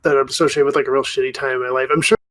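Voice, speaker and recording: A man's voice: medium pitch, flat delivery, rapid-fire, a bit bright. A man co-hosting a podcast, chatting informally among friends with frequent "like" in his speech. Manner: informal, says "like" often. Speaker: podcast co-host. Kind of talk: casual chat among friends.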